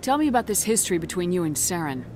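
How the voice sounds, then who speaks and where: A woman's voice: fairly low pitch, very animated, quick pace, background noise. A woman playing a determined military commander in a video game, speaking in a firm, direct, clipped way. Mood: businesslike, probing. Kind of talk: video game cutscene acting. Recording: music behind game dialogue.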